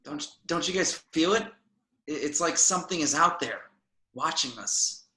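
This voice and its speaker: A man's voice: on the high side, expressive, moderate pace, sharp tone. A man reading the part of a timid boy, speaking hesitantly and uneasily. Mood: uneasy. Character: timid uneasy boy, scared of unseen things. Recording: roomy echo.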